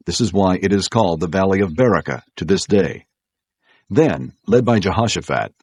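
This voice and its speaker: A man reads scripture as a narrator, measured and solemn. His voice is very low and mellow with a husky grain, telling the account as scripted narration. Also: no echo. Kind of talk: scripted narration of an audio Bible.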